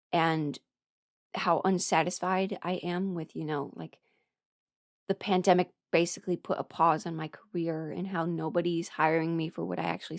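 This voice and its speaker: A young adult woman talking frankly and haltingly in a candid personal ramble, often quoting her own thoughts as she goes. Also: low voice, vocal fry, no room sound, clean studio sound. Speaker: voice performer sharing a personal ramble.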